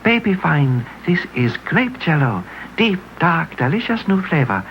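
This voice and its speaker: Vintage ad narrator, female, very animated low voice, broken English phrasing, dull noisy old broadcast sound. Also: measured pace. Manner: broken, pidgin-style English delivery. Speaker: commercial narrator. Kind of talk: vintage TV commercial storytelling.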